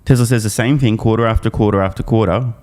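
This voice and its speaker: A low-pitched male host runs through a prepared recap with punchy emphasis. He sounds opinionated and irreverent, dropping into slangy, casual asides between the scripted points. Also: steady tone, fast talker, a little mellow. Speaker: host of an investor news channel.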